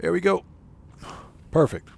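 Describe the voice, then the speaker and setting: A man's voice: high-pitched, lively intonation, measured pace, vocal fry, a little mellow. A man livestreaming a video game, giving casual commentary and muttering to himself as he plays. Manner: muttering to himself while playing.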